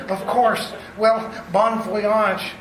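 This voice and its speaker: A man's voice: sits high, level tone, extremely slow. A man playing a timid, giggly doctor sidekick to a villain in a radio play, stammering and fawning. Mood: nervous, placating. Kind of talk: radio theater acting. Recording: echoey, distant, faint noise.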